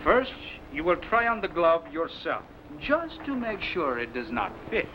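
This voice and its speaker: Guy playing a shifty plotting sailor, old radio drama, pitch swings all over, noisy tape. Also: sing-song, dull audio. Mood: suspicious, menacing. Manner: theatrical, menacing, deliberate. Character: scheming suspicious male Spanish sailor plotting mutiny. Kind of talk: old-time radio adventure acting. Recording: noisy vintage radio recording.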